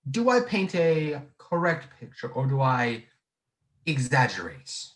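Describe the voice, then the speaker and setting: Imperious old small-town tycoon played by a young man, radio drama, very varied pitch, echoey room recording. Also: sing-song, fairly bright tone. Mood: smooth, scheming. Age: young adult. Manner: imperious, deliberate, cajoling. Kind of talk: 1940s radio drama acting. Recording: echoey room over video call.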